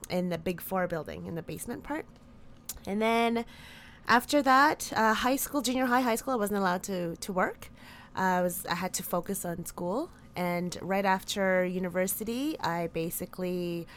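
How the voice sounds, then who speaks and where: A woman's voice: on the low side, a little hiss or hum. A woman in her thirties, born in the Philippines, answering interview questions in a chatty way that often breaks into laughter. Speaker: podcast guest.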